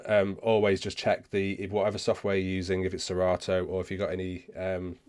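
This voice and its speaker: A man hosting a casual live question-and-answer session, speaking haltingly and often rephrasing himself as he goes. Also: low-pitched, monotone, a little mellow. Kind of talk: casual livestream chat, answering viewer questions.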